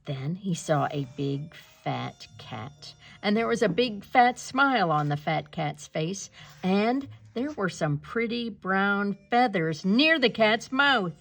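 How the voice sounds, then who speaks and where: Slow, lively woman reading a storybook. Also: medium pitch, creaky. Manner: animated, with asides to young listeners. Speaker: storyteller reading a children's picture book aloud. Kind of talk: expressive read-aloud narration.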